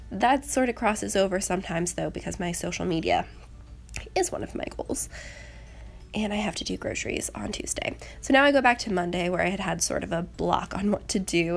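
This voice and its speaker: A young woman with a medium-pitched voice full of vocal fry and a slight rasp left over from a cold. She narrates casually in a chatty craft voice-over style, poking a little fun at herself. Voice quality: slightly raspy. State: getting over a rough cold. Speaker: planner channel host.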